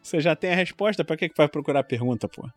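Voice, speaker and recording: A man in his forties with a Rio de Janeiro Brazilian accent, telling personal stories in an animated, informal way. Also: a little high, racing, faint music behind. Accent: Rio de Janeiro Brazilian Portuguese. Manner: animated, informal storytelling with quoted exclamations. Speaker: podcast guest, longtime tabletop RPG player. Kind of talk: casual chat, personal storytelling.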